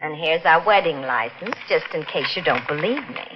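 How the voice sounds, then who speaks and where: Coarse, scheming blackmailer, sly and folksy, animated; muffled vintage broadcast. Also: female voice, deep voice, moderate pace, noisy background. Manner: folksy ungrammatical speech. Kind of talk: 1950s radio drama acting. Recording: dull vintage radio broadcast.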